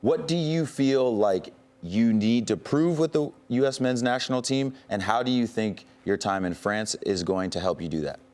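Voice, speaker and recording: A man's voice: medium pitch, expressive, moderate pace, a little mellow. A man hosting a morning football show, asking playful, repetitive questions in a casual, upbeat way. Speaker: show host. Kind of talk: casual sports talk.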